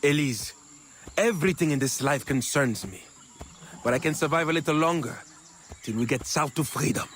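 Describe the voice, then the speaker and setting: High, animated, defiant chained labourer. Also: male voice, normal speed, long pauses, a little hiss or hum. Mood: stubborn, resigned. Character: stoic, defiant male labourer in chains, longing for freedom. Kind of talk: video game character acting.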